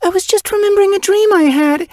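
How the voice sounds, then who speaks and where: A man's voice: very high voice, fast, a little mellow, no room sound, clean studio sound, pitched above the performer's own voice. A man voicing an anxious, dreamy young female cat who talks in breathless apologies. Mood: wistful.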